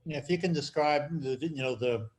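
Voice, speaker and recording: A man moderating an online club meeting over a video call, casual and conversational, with halting phrasing. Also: fairly high pitch. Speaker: meeting host.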